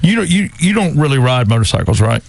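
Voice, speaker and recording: A man co-hosting a radio show, bantering casually with excitable, self-deprecating humor, close on the mic. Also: mid-range voice, quick pace, vocal fry, slightly rough, dry recording. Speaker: radio co-host. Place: radio studio. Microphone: close studio microphone.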